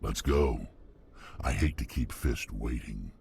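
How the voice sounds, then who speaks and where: An actor voicing a hulking, menacing alien bounty hunter in a video game, blunt and gravelly. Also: male voice, a bass voice, takes it slowly, a little mellow, no room sound, studio quality, full character voice.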